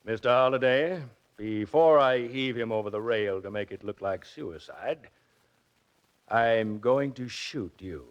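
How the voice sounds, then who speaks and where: A man's voice: medium pitch, measured pace, lightly acted. Smug, scheming villain played by a man. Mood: smug, menacing. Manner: smooth, menacing. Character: smug, scheming murderous uncle, an embezzler. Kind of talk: 1940s radio drama acting. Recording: dull 1940s radio recording.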